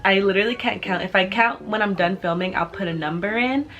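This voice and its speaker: A woman's voice: medium pitch, a little fast. A woman in her twenties with an American accent chatting casually and informally to camera as a vlogger, in a bubbly way. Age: 20s. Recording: music playing behind the voice.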